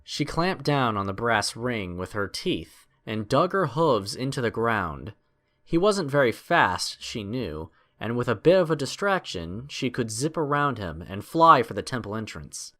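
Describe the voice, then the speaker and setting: A man's voice: medium pitch, sing-song, unhurried, a bit bright. A man narrating a scripted adventure story in a dramatic storytelling style.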